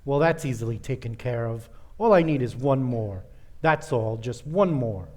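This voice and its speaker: A man's voice: mid-range voice, animated, moderate pace, darkish tone, a little hiss or hum, natural voice. A man acting a cold, curt, menacing killer. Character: cold, menacing murderous criminal brother, vain and stubborn. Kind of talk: radio play acting.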